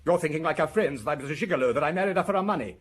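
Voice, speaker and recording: A man's voice: a little high, animated, racing, husky. A man in a radio drama playing a defensive, bitter pharmacist of forty, precise and prickly in his speech. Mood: bitter, agitated. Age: 40s. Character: defensive, bitter forty-year-old male provincial pharmacist suspect.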